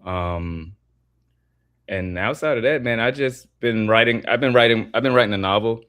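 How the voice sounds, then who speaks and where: A man talking as an interview guest, relaxed and earnest, with loose phrasing full of "like" and "um". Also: medium pitch, moderate pace, no echo. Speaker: guest, artist and former wrestler. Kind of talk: casual interview chat.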